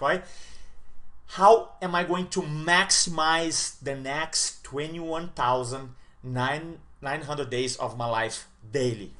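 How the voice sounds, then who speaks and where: A man in his forties with a slightly raised voice delivers a motivational talk to camera. He is fired up, stacks repeated superlatives and moves from big loud peaks down to hushed, serious lines. He sounds like a coach rallying his audience. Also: a little high. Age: 40s. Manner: enthusiastic, repeats words for emphasis, swings loud and soft.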